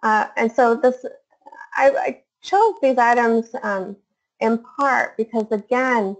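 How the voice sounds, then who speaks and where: A woman's voice: a little high, takes it slowly. A woman researcher giving a webinar presentation, hesitant, with many fillers and restarts. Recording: dull lo-fi webinar audio.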